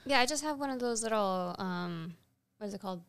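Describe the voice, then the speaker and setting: A woman's voice: medium pitch, animated, brisk, gravelly, a bit bright, clear, resonant tone, no room sound. A woman co-hosting a podcast in casual, bantering chat. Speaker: podcast co-host.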